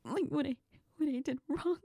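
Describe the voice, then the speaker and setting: A woman playing a heartbroken young woman crying to her best friend in an intimate audio role-play, halting and apologetic, often slipping into whispers. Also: high-pitched, vocal fry, mellow, no echo. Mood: sobbing, heartbroken. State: crying, tearful, sniffling.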